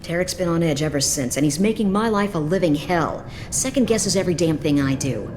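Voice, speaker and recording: A jaded female mercenary lieutenant, acted by a woman for a video game. The voice is low, frank and businesslike with some bitterness, over clear ambient noise. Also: animated, a little fast, natural voice. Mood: bitter.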